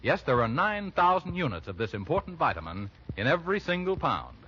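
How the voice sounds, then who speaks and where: A man's voice: fairly high pitch, sing-song, a little fast, pushed and bright. A man announcing on 1940s radio with a polished, emphatic sales delivery. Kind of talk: 1940s radio ad read and scripted narration. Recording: muffled, hissy 1940s radio recording.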